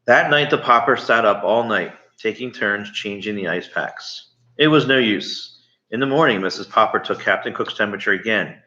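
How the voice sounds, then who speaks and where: A man reading a storybook aloud, pausing to explain things and ask questions as he goes. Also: on the low side, lively intonation, sharp tone. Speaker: read-aloud storyteller to children. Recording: slightly muffled, echoey room.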